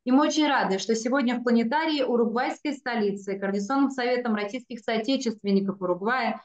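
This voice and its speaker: A woman's voice: fairly high pitch, fast talker. A middle-aged woman giving a formal welcome speech over a video call, reading each passage in two languages. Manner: reads each passage twice, first one language then the other. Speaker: cultural center representative. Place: indoor room, video call. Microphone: video call webcam. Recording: echoey, dull video call audio.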